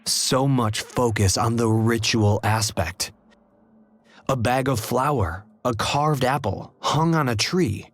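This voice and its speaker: Slow, musing detective. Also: male voice, medium pitch. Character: thoughtful, analytical male detective. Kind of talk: video game voice acting.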